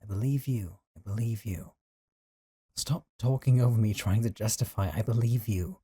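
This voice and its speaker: A man's voice: on the low side, moderate pace, mellow, husky, dry recording, studio quality. A man acting a possessive, lovesick young man in an intimate audio roleplay, talking in a casual, teasing, conversational way with sighs. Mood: playful teasing. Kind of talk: intimate audio roleplay drama, one side of a call.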